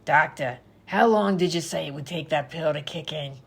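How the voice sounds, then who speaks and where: A woman playing a long-suffering, exasperated hospital patient, curt and grumbling, with a gravelly, husky voice in a radio comedy. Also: deep voice, moderate pace, exaggerated voice.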